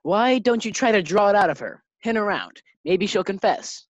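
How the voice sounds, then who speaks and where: A performer acting a calm, advice-giving male friend in a 1940s-style radio sitcom, on a muffled microphone. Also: mid-range voice, moderate pace, no room sound, close to an everyday voice. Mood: knowing.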